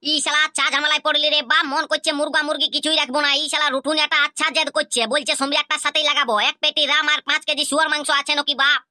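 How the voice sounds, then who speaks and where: A woman voicing a bossy, hot-tempered village elder brother in a Purulia Bengali accent, scolding and quick. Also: sits high, flat delivery, sharp tone, no echo, clean studio sound.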